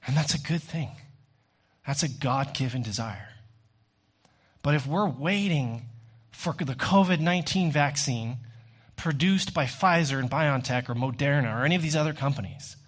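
Guy preaching a sermon, mid-pitched voice, earnest and deliberate, rhetorical phrasing. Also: animated.

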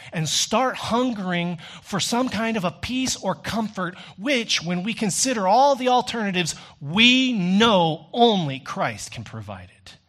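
A man's voice: high-pitched, a bit bright. Earnest male preacher giving a sermon. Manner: earnest, deliberate, rhetorical phrasing.

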